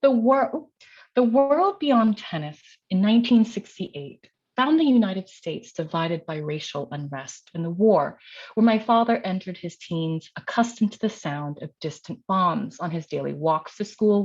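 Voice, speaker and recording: A woman's voice: mid-range voice, animated, a little slow, darkish tone. A middle-aged woman poet and teacher giving a reading of her work with informal, self-deprecating remarks. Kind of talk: poetry reading with casual introductory remarks. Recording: dull, lo-fi sound.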